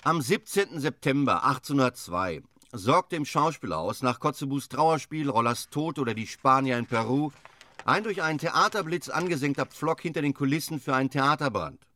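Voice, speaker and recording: An actor narrating as a sober chronicler in a radio drama, factual and measured. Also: male voice, medium pitch, lively intonation, sharp tone. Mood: matter-of-fact. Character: sober male chronicler reciting theatre history.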